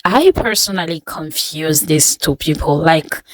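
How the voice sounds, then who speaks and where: A woman's voice: low-pitched, animated, measured pace, dry recording. A woman hosting a reaction video, chatting casually, thinking aloud and laughing often.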